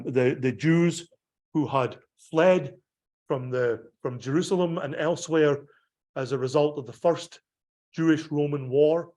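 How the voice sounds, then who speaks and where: Brief: Scottish pastor narrating travel slides, meandering, lots of fillers, voice a touch high. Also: male voice, darkish tone. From Scotland. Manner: rambling, digressive, many ums and restarts. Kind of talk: slideshow talk on a pilgrimage.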